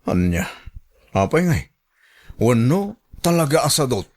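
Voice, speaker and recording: Ilocano village man, radio drama, flirtatious, teasing, familiar, medium pitch. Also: sing-song, unhurried. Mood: curious, chatty.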